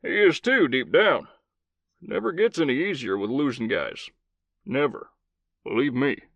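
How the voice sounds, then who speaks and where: A man voicing an older male commander, a gruff old cowboy with a thick Southern drawl who swears freely. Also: mid-range voice, expressive, a little slow, a bit bright, dry recording, voice pushed up, heavily acted. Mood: gruff consoling.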